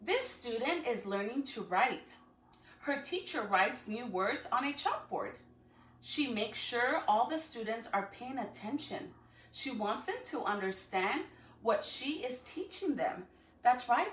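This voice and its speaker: Female educator for a children's read-aloud, higher voice, encouraging delivery, picked up at a distance from the mic. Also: a little hiss or hum. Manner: encouraging, addressing children with questions. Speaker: school educator reading to students. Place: living room at home, indoors. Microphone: phone or camera a few feet away. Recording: echoey room, distant from the microphone.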